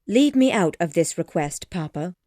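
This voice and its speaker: A woman voicing a cold, aloof young woman speaking in a cool, flat way. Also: fairly low pitch, moderate pace, dry recording, studio quality, natural voice.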